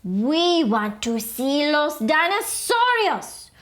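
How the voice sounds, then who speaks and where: A woman's voice: sits high, sing-song, takes it slowly, fairly bright tone, voice pushed up, heavily acted. A woman voicing the tiniest male Chihuahua, cheeky and pushy, with mock-Spanish words.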